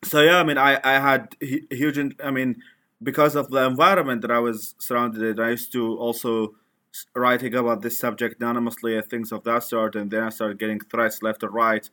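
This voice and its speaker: A man, a guest in an interview, talking in a halting way with many restarts. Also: medium pitch, little pitch movement, bright, forceful tone. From Iraq. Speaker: guest, activist. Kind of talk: conversational interview.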